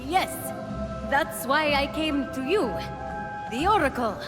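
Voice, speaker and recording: A woman's voice: high-pitched, lively intonation, slow pace, music in the background. A woman voicing an earnest, plucky young heroine on a quest in a video game cutscene. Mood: earnest, puzzled.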